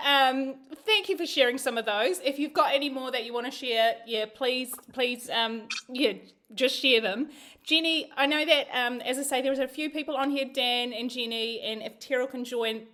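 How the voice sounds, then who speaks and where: Woman host, high voice, bubbly, chatty, live video call. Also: bright, forceful tone. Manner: bubbly, chatty, stumbles over names. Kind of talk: live show hosting.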